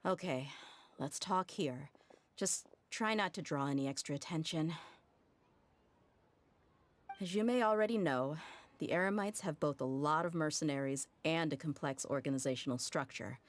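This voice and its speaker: An actress playing a tough, blunt mercenary leader in a game cutscene, frank and guarded with casual slang. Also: female voice, low-pitched, moderate pace, pauses often, lightly acted.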